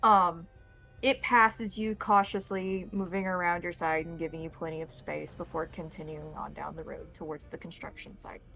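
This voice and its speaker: A woman's voice: on the low side, flat delivery, normal speed, creaky, pushed and bright, soft background music. A woman narrating a tabletop roleplaying game as the game master, describing scenes to players.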